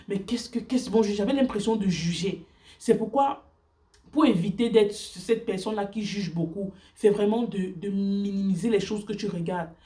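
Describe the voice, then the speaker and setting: A woman whose first language is English speaks in earnest, halting French, with many fillers and restarts, sharing her faith on a casual vlog. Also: medium pitch, mellow, recorded from a distance.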